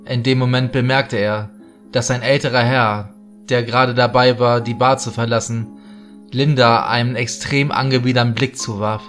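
A man's voice: medium pitch, steady tone, moderate pace, fairly bright tone. A man narrating a scripted horror story as storyteller. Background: background music.

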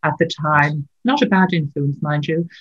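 A woman in her sixties with a Dublin Irish accent, an author answering interview questions thoughtfully in her home study, pausing and restarting often. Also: deep voice, lo-fi sound. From Ireland. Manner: thoughtful, many fillers and restarts, light laughs. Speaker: author and guest. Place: home study with bookshelves, indoors. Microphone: laptop webcam on a video call.

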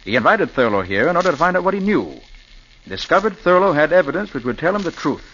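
A confident, clever detective in a 1940s radio drama. He explains briskly with lively pitch and a mid-range voice. The old recording is dull with faint hiss. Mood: explaining.

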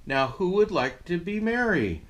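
An older man plays a bossy, fussing lady emu from a storybook. The voice is brisk and organising, raised a bit and swinging widely in pitch. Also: big pitch swings, fairly bright tone, heavily acted. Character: bossy, fussing female emu directing auditions.

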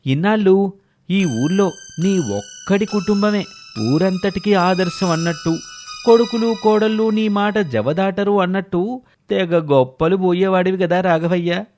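A man voicing a village elder who mockingly taunts an old friend in a drawn-out, jeering way. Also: sits high, a little mellow, soft background music, voice pushed up.

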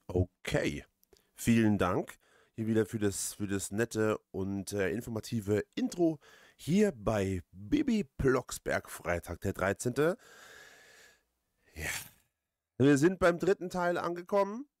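A man giving casual, halting gameplay commentary as a let's player. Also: lowish voice, big pitch swings, darkish tone, dry recording, studio quality. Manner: hesitant, halting, frequent drawn-out pauses.

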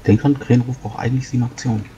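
A man's voice: mid-range voice, fairly even intonation, very fast, dull audio, a crowd in the background. A man talking casually about rules and turns as a player in a tabletop game.

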